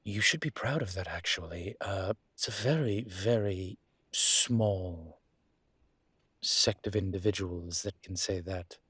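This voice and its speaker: A man playing a flirtatious, wry immortal demon professor in an intimate, conversational audio roleplay, with a low husky voice and a teasing, playful manner. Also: slow pace, fairly bright tone, dry recording, heavily acted. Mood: warm, admiring. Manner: teasing, playful, breathy asides.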